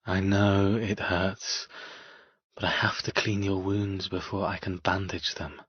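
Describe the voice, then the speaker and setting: An actor playing an intense, possessive man in an audiobook drama, speaking softly and deliberately in a murmur. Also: low voice, flat delivery, husky, no echo, muffled recording. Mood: soothing. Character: possessive, intense man tending his wounded captive woman. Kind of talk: audiobook character dialogue.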